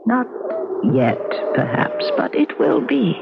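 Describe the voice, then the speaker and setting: Slow, creaky ageless ghost woman. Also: high-pitched, huge pitch swings, over loud music, exaggerated voice. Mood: knowing, insistent. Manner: slow, intimate, cryptic. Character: ageless ghostly woman, ancient yet lovely, lovingly possessive. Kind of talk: old radio drama acting. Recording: dull, noisy old radio recording.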